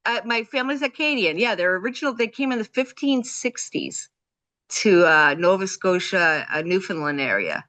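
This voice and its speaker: A woman hosting a casual chat, eager and quick to laugh, with frequent hesitations. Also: medium pitch, expressive, fairly bright tone. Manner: enthusiastic, laughing often, many fillers. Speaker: host.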